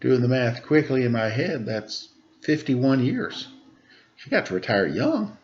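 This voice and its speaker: A man teaching children, reading a rhyming picture book aloud with a steady rhythm, then chatting casually about it. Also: medium pitch, unhurried, muffled recording, a little hiss or hum. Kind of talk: storybook read-aloud for children.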